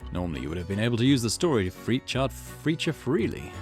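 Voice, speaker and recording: A man voicing a calm, courteous, knowing storyteller in a visual novel, speaking in a formal, measured, polite way. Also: mid-range voice, soft background music, close to an everyday voice. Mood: courteous explaining. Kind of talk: visual novel narration read aloud.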